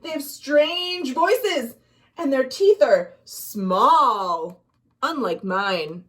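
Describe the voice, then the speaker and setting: Woman voicing a timid, slow-spoken storybook ghoul. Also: high voice, lively intonation, a bit bright, exaggerated voice. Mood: wary. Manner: trembling, slow and halting, earnest. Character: huge timid one-eyed male ghoul, fearful but gentle. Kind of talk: children's storybook character voice.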